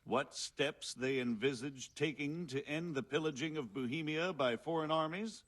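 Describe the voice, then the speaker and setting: An actor playing a shrewd, diplomatic medieval margrave in a game cutscene, with formal, deliberate speech. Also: male voice, a little high, fairly bright tone. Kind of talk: video game cutscene acting.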